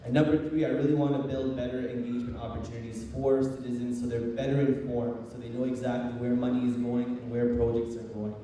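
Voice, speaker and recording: Male council candidate, quick and wordy, earnest, very mellow tone, recorded at a distance in a reverberant hall. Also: mid-range voice, level tone, slight background noise. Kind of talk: campaign closing speech at a public debate. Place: large hall, public debate. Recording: very echoey hall, distant microphone.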